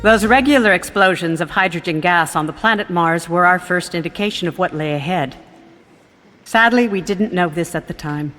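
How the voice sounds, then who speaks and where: A woman's voice: fairly low pitch, normal speed, a bit bright, close to an everyday voice. A woman playing an earnest, composed museum guide in a radio drama, speaking formally and with ceremony. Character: composed, earnest female museum guide narrating Martian history. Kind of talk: scripted radio drama narration, lecture-like. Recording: long echoing hall reverb.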